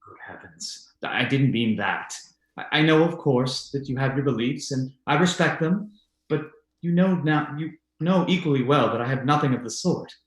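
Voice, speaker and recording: A man's voice: medium pitch, lively intonation, lightly acted. A man playing a reasonable but uneasy husband who denies the supernatural, speaking formally in old-time radio drama style. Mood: unsettled. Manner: formal, measured, halting when uneasy. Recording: roomy, echoey voice recording.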